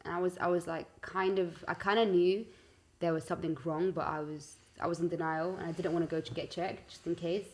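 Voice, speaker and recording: A young woman with a London accent and a fairly low voice. She talks in a loose, slangy, conversational style, spinning out anecdotes with a giggly, animated manner. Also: fairly quick, a little hiss or hum. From West London, UK. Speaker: singer-songwriter interview guest. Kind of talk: casual chat.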